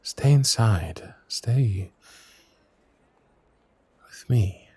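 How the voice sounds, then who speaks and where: A man playing a young, dutiful and gentle royal knight in an intimate fantasy audio roleplay, speaking with formal, earnest courtesy. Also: on the low side, lively intonation, drawn-out words, husky, dry recording, full character voice. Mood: pleading, devoted.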